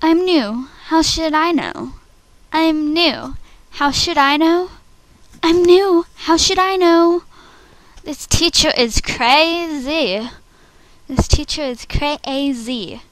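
A woman playing an outspoken schoolgirl from an animated series in short, dramatic readings. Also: sits high, slow pace, no echo, rough recording. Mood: fed up.

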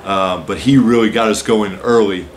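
A man in his forties with a slightly low voice gives postgame answers as a basketball coach. He talks in a measured, explanatory way, leaning on "uh" and "so". Faint noise hangs in the press room. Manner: measured, explanatory, many "uh" and "so" openers. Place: press room with a team backdrop, seated at a table.

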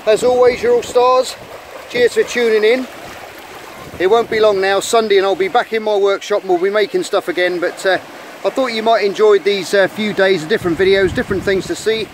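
A higher-voiced, middle-aged man chats enthusiastically while showing small sea creatures at a rock pool. He talks casually into a hand-held camera outdoors. Faint wind and water noise sit behind his voice. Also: steady tone, fairly quick. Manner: chatty and enthusiastic, quietly talking while handling creatures. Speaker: channel host. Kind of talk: casual nature vlog narration. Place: rocky beach rock pools outdoors. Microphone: camera held in hand at the shore.

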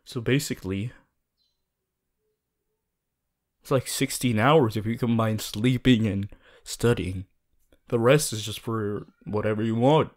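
A man playing an earnest, bossy, worried young student hero in an audio roleplay, talking to the listener in an insistent, halting, emphatic way. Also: mid-range voice, a little mellow, lightly acted. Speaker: voice actor.

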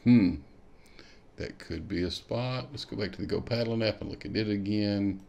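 Gravelly low-voiced man musing. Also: moderate pace, warm tone. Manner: thinking aloud, musing with hmms while clicking. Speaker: kayak fishing show host. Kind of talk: screen-share tutorial walkthrough.

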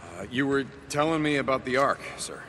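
An actor playing a gruff American soldier recruit in a video game, halting, deferential and understated. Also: male voice, medium pitch, animated, a bit bright, long reverb tail, slight background noise, lightly acted. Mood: hesitant. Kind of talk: video game dramatic acting.

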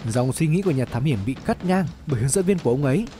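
High-voiced male story narrator over music. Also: quick pace. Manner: expressive storytelling. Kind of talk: scripted dramatic story narration. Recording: music bed under the voice. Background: background music.

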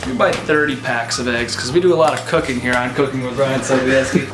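A young man cracking crude deadpan jokes while cooking with a friend in a small home kitchen. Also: mid-range voice, a little fast. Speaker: co-host of a cooking video. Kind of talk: joking home cooking video chat. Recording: echoey kitchen with music behind.

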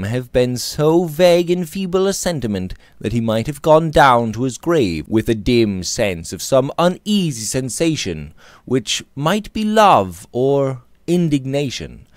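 Man reading a Victorian novel, very animated pitch. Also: no room sound, studio quality. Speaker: audiobook reader. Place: small indoor room. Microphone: close studio mic on boom arm.

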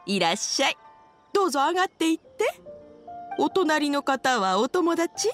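A woman's voice: high voice, big pitch swings, moderate pace, a bit bright, faint music behind. A woman playing a kindly, welcoming elderly wife in an anime game.

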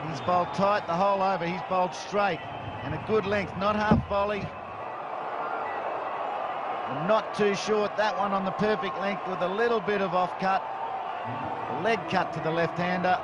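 A male cricket commentator calls the play in a measured, analytical way, with his voice sitting a little high. Behind him a stadium crowd roars and heavy noise fills the broadcast. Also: fairly even intonation.

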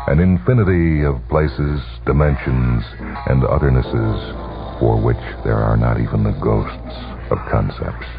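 A man narrating a story for radio in a deliberate, dramatic manner with weighty pauses. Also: extremely low pitch, vocal fry. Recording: dull lo-fi old radio recording. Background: music.

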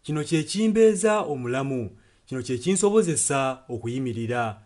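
A man's voice: medium pitch, animated, fairly quick, darkish tone. A Ugandan man interpreting a sermon earnestly, phrase by phrase. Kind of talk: consecutive sermon translation. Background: music.

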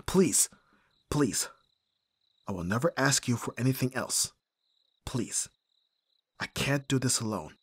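A man's voice: medium pitch, expressive, slow, long pauses, slightly rough, no room sound. A man playing an abrasive but devoted bodyguard in an audio roleplay, intense and given to sighing and swearing. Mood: pleading. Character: abrasive male bodyguard, desperate and devoted to his client.

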